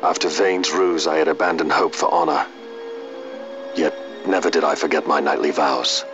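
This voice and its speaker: A man playing a stoic, honor-bound knight in a fantasy video game, speaking formally and earnestly in measured phrases. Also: rumbling low, fairly even intonation. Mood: resolute, earnest. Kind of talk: formal, archaic video game drama acting. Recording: muffled game audio under clear music.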